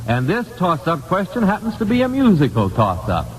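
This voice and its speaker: An American man hosting a live 1950s radio quiz, putting questions deliberately and repeating key phrases for emphasis on an old broadcast recording. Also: high-pitched, wildly swooping pitch. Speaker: quiz show host. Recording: old radio broadcast, hall reverb, hiss.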